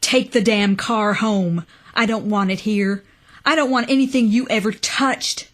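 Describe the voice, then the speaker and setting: A woman's voice: medium pitch, expressive, unhurried. A woman voicing a frantic, cornered man in an audiobook, accusing and breathless, with a ragged edge. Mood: bitter furious. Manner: breathless, accusing, building to shouts. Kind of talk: audiobook character acting.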